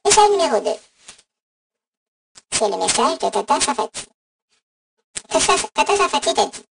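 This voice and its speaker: A cheeky robotic voicemail operator, improvised by a woman for a comic skit, mock-official and crude. The voice is heavily fried and runs through a robot effect. It sits far from the mic in clear noise. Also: sits high, sing-song, moderate pace, full character voice. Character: cheeky, insulting female robot voicemail service. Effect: robot voice modulator. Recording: distant, noisy phone app recording.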